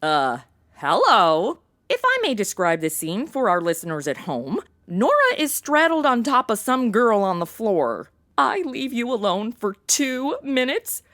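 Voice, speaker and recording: Sarcastic quippy woman, highish voice, animated, audio comedy, irreverent. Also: a little slow. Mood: cheeky. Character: wisecracking, irreverent modern woman dropped into 1940s adventure. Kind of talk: audio drama comedy acting.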